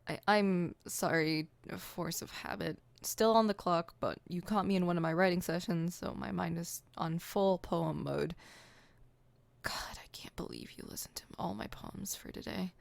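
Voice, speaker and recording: A woman's voice: fairly low pitch, normal speed, vocal fry, no room sound. A woman playing a theatrical, poetic supervillain in an ASMR roleplay, haughty and dramatic, stammering when flustered. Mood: flustered, indignant.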